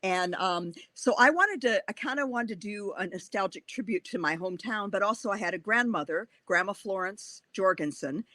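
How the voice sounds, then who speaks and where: Older woman, 60s, Wisconsin accent, bright forceful voice, enthusiastic chatty author, dry sound. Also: mid-range voice, no room sound. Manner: chatty, enthusiastic, laughs often. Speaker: author guest. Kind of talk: bookstore author talk.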